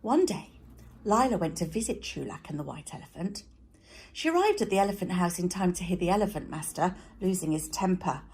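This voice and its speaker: Expressive woman storyteller with a husky voice. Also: lowish voice, fairly quick. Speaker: teacher reading aloud to pupils. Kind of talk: expressive children's storybook narration.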